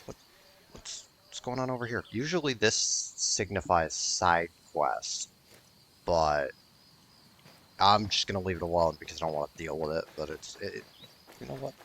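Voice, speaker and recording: Low-voiced man rambling over gameplay. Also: lively intonation, moderate pace, fairly bright tone, slight background noise. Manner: rambling, mildly profane. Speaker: gaming video host. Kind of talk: casual unscripted gameplay commentary.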